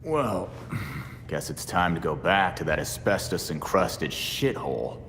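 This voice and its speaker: A man's voice: sits low, expressive, takes it slowly, fairly bright tone, long reverb tail, slight background noise, exaggerated voice. An actor playing a blunt, sardonic young male vigilante in a video game cutscene.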